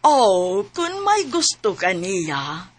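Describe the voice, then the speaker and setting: An actress playing a kindly, chatty older friend and matchmaker in a radio drama. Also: female voice, medium pitch, huge pitch swings, drawn-out words, slightly rough, dry recording, full character voice. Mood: friendly, coaxing.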